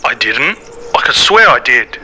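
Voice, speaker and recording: An actor playing a casual, easygoing park worker. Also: male voice, mid-range voice, very animated, a little slow, bright, forceful tone, slight background noise, heavily acted. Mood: sheepish.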